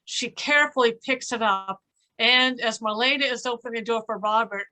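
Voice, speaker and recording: Bright, higher-pitched woman narrator. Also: normal speed, low-quality recording. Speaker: stage directions reader. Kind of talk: scripted narration for readers theater.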